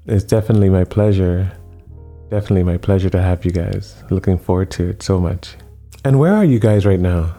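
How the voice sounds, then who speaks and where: Deep, dark-voiced male talk show host. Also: soft background music. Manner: slow, emphatic, repeats phrases for effect. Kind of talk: radio-style interview.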